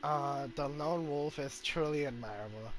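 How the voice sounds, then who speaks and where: Higher-voiced man commentating on a game. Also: normal speed, over music. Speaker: game streamer. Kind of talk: casual gameplay commentary.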